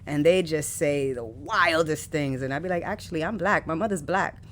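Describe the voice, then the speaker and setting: A woman poet from New City, a podcast guest telling candid stories about her upbringing with animated, laughing energy. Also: deep voice, normal speed. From New York City. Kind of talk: casual interview conversation. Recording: faint background noise.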